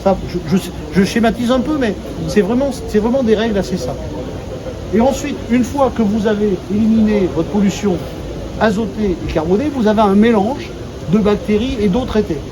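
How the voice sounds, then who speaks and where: A man's voice: high voice, moderate pace. A man giving an informal, colloquial explanatory talk to visitors on site, simplifying technical points as he goes. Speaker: company executive presenting a water treatment plant. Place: on site at a treatment plant. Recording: dull sound, heavy ambient noise.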